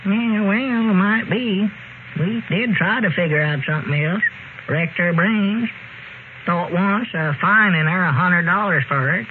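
An actor playing an excitable, teasing old country storekeeper in a folksy rural Southern accent, in classic radio comedy style. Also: male voice, high voice, measured pace. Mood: folksy, earnest. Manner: folksy, rambling, giggly. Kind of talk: 1930s-40s radio comedy acting. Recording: scratchy, narrow-band old radio recording.

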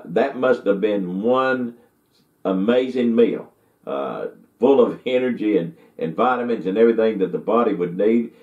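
An older man gives a rambling Bible lesson, stumbling into restarts and filling pauses with "uh." His voice sits fairly low, and it comes through thin and phone-like. The room rings with echo, and he sounds set back from the mic. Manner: folksy, rambling, with many restarts and fillers. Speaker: Bible teacher. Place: indoor study with bookshelves. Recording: tinny, echoey, from far off.

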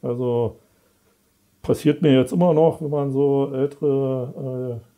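A man, a guest author talking in a relaxed, rambling way with many fillers, on a lapel mic in a studio. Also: medium pitch, slow pace. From Berlin, East Germany. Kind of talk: relaxed conversational interview. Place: studio set with brick wall.

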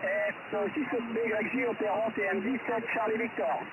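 A man's voice: very high-pitched, lively intonation, normal speed. A man talking as an amateur radio operator, hesitant and casual, over a radio link. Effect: single-sideband radio transmission. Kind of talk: casual ham radio contact with spelled callsigns. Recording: narrow, noisy shortwave-style satellite radio link.

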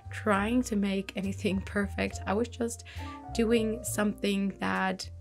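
Creaky-voiced woman narrating her journaling. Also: medium pitch, takes it slowly. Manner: relaxed, chatty, self-reflective, light laughs. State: voice fading, slightly hoarse. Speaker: bullet journal creator. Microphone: voice-over recorded separately. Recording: soft background music.

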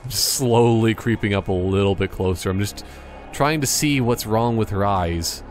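A man's voice: on the low side, normal speed, soft background music. A man giving casual, joking gameplay commentary, rambling in a silly, mock-serious way.

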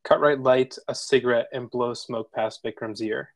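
A man's voice: medium pitch, steady tone, moderate pace, no echo. Man narrating screenplay, very dry sound. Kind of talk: scripted narration of screenplay action.

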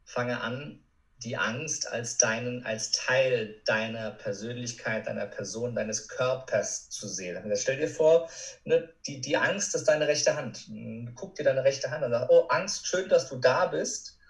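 A man's voice: mid-range voice, unhurried. A man in his thirties talking as a podcast guest, explaining things in a conversational, coaching way. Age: 30s.

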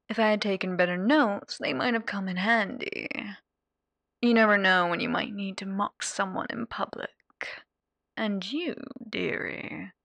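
Evil radio demon girl for asmr, theatrical n mocking, super swoopy, slow drawn out taunts. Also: mid-range voice, gravelly, a bit bright, no room sound, studio quality, heavily acted. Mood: mock-sweet, scornful. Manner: mocking, showy, drawn-out delivery with whispered asides and pet names. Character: sadistic, theatrical female radio demon playing hotel therapist. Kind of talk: ASMR character roleplay addressed to the listener.

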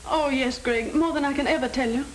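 A woman's voice: high voice, brisk, close to an everyday voice, poor audio. An American actress playing a lovestruck, devoted young woman who implores earnestly in a 1930s film melodrama. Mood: devoted, urgent. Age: young adult. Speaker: film actor. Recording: hissy 1930s film soundtrack.

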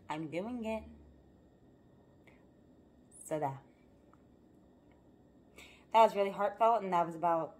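A young woman vlogging casually to her phone camera at home, talking emphatically and a little hoarse from rehearsals. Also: sits low, a little hiss or hum. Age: young adult. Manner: animated, emphatic motivational talk. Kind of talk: casual vlog talk to camera. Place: home living room, then car. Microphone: phone camera held close.

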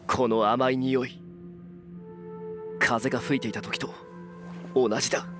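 A man's voice: medium pitch, fairly even intonation, a little slow, over music, natural voice. A man playing a cool, resolute young adventurer in a voice drama, terse, dry and composed. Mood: wary. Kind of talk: Japanese voice drama acting.

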